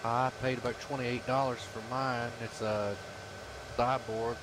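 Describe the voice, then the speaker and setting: A man's voice: medium pitch, level tone, a little slow. A man chatting casually with fellow gamers over voice chat. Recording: noisy voice chat, clear hiss.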